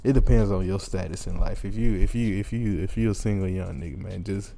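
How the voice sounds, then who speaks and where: A man's voice: low-pitched, a little fast, gravelly, warm tone. A man co-hosting a casual, profane comedy chat, repeating phrases for emphasis. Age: 20s. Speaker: podcast co-host.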